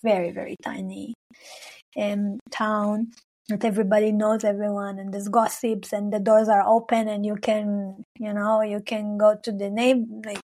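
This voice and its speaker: A woman's voice: medium pitch, flat delivery, moderate pace. A young adult woman from Israel-Palestine, a singer speaking English as an in a casual interview, hunting for words with many fillers and laughs. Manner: warm, searching for words, frequent "like" and "you know", laughs often. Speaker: singer, guest.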